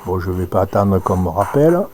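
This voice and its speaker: Mellow low-voiced man giving a halting demonstration. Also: lively intonation. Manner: halting, thinking aloud while operating. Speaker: amateur radio demonstrator. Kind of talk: informal live software demonstration. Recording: noisy recording with audible hum.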